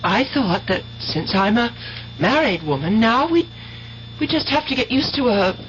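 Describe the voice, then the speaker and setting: An American actress playing an anxious, pleading wife in a melodramatic, halting 1970s radio drama style. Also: female voice, on the high side, lively intonation, lightly acted. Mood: hesitant, evasive. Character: anxious, pleading young wife defending her husband. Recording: muffled, hissy 1970s radio recording.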